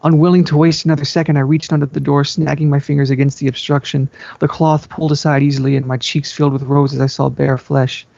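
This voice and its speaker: A man's voice: fairly high pitch, little pitch movement, fast talker, vocal fry, darkish tone. A man reading a story aloud as an amateur dramatic narrator. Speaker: fan fiction reader. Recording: dull, roomy amateur recording.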